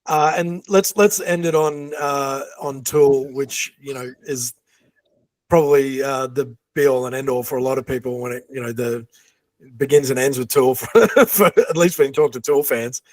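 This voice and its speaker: A man's voice: mid-range voice, moderate pace, no room sound, poor audio. A man co-hosting a podcast in casual chat, with hesitant, conversational phrasing. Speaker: podcast co-host.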